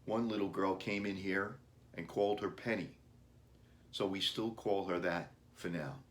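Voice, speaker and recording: A man's voice: low-pitched, unhurried, a bit bright. A man voicing a friendly, helpful pet store clerk in a children's storybook, polite and explanatory.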